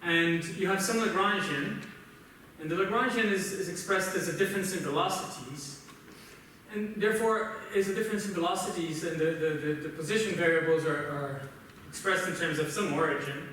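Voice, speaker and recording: A man with a Brazilian accent, a native Portuguese speaker, gives a technical conference lecture in a halting, explanatory way. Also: a little high, pushed and bright. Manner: halting, explanatory, often checks with "right?". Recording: roomy, noisy conference hall, distant mic.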